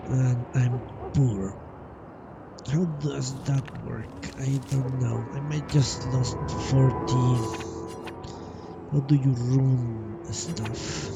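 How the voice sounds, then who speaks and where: A man's voice: mid-range voice, fairly even intonation, very slow, vocal fry, distant mic. A man doing casual live gaming commentary, muttering to himself as he thinks aloud. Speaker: game streamer. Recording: loud game music behind the voice. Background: loud video game music.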